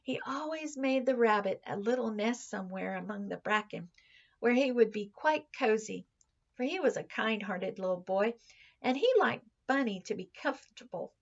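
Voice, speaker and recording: A woman reading a children's story aloud like a teacher, expressively, with dramatic pauses and drawn-out words. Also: fairly high pitch, lively intonation, gravelly, highs cut off.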